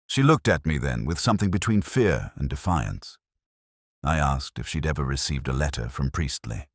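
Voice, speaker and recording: A man reading a first-person mystery story as its narrator, in a measured, reflective, unhurried way. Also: extremely low pitch, lively intonation, no echo, studio quality.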